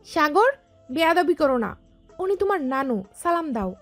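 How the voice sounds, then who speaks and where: Woman acting a very high-voiced, firm mother. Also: very animated, normal speed. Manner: coaxing but firm. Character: caring, firm middle-aged mother. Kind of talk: audio story acting. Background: background music.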